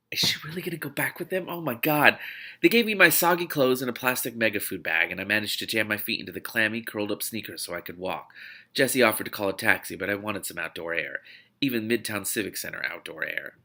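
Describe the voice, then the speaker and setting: A man reading fiction aloud as host of a read-along show, with expressive, dramatic delivery. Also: on the low side, brisk, creaky. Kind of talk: expressive audiobook-style reading with spontaneous reactions.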